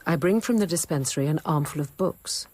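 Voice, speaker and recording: An actress playing a discreet, thoughtful convent apothecary nun in a radio drama, quiet and earnest in both narration and dialogue. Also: female voice, deep voice, lively intonation, brisk, a little hiss or hum. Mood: sober, narrating. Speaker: narrator and lead character.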